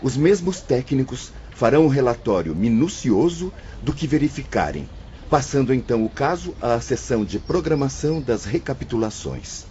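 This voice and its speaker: A wise male spirit assistant teaches with a mellow, expressive voice, as a man acts him out. Soft music plays under his lines in a radio-play style. The audio sounds slightly muffled. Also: medium pitch, normal speed, slight background noise, close to an everyday voice. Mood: instructive. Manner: warm, instructive, reflective. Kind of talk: audio drama acting.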